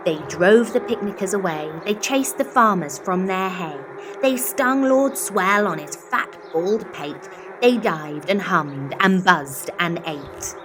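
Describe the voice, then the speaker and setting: A woman reading a children's picture book expressively, in a dramatic, rhythmic way that draws out words. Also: fairly low pitch. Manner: dramatic, rhythmic rhyming delivery with drawn-out words. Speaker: storyteller.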